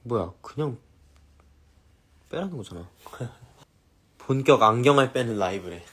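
A man's voice: mid-range voice, expressive, measured pace, fairly bright tone, slightly rough. A young man in his twenties, chatting playfully and giggling on a casual live stream.

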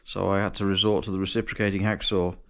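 A man's voice: deep voice, little pitch movement, quick pace, gravelly. A man casually talking through an engine rebuild as he works, thinking aloud and correcting himself. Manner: thinking aloud while working, with restarts and self-corrections. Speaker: home mechanic vlogger. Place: large cluttered garage workshop, indoors. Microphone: chest-mounted action camera.

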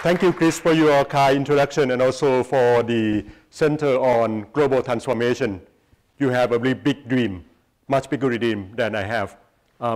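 Middle aged thai guy giving a talk, normal pitch, stops mid sentence a lot, lapel mic. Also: darkish tone. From Thailand. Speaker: guest speaker, research institute president. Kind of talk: public lecture with slides. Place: lecture hall, on stage before seated audience.

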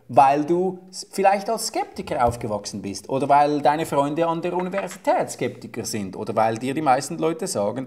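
A man's voice: sits high, a little mellow. A young man, explaining his reasoning as a podcast guest, often restarting and searching for words.

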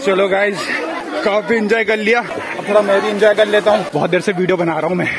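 A young man chatting casually and teasingly in slang as an excitable vlogger, recorded on a handheld phone. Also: sits high, fairly quick. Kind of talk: casual vlog chat. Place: outdoor public swimming pool. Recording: noisy, crowded outdoor pool. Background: crowd, splashing, outdoor noise.

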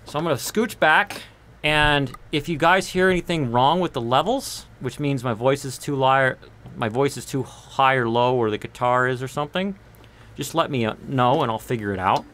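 A man's voice: medium pitch, normal speed, fairly bright tone, slight background noise. Man, thirties, informal rambling stream chat, close condenser mic, small home studio. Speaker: live streamer and singer-songwriter. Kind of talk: casual live stream chat. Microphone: large condenser mic on boom arm, close.

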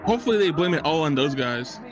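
A man's voice: fairly high pitch, expressive, fast, low-quality recording. A young adult man reacting casually to a movie, full of slang, exclamations and swearing, close on a microphone. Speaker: reaction show co-host. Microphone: close podcast microphone, headphones. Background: music and movie soundtrack.

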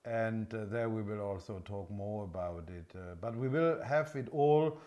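A man in his fifties with a continental European accent, a non-native English speaker explaining things off the cuff in a halting, hesitant way as a workshop presenter. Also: fairly low pitch, a little mellow. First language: not English. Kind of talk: unscripted product explanation. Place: indoor engine workshop showroom.

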